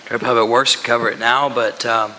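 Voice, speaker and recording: A man makes informal remarks as a council member, his voice bright and forceful. The sound carries long hall reverberation, with some noise in the room. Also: medium pitch, flat delivery, fast talker. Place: large council meeting room. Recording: echoey hall with background noise.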